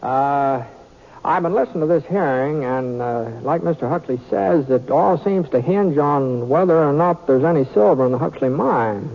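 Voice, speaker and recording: An actor playing an easygoing Western gunfighter who talks in a halting, soft-spoken drawl, in a 1950s radio drama. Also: male voice, fairly high pitch, vocal fry. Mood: modest. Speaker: gunfighter Britt Ponson. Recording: thin phone-like old radio recording.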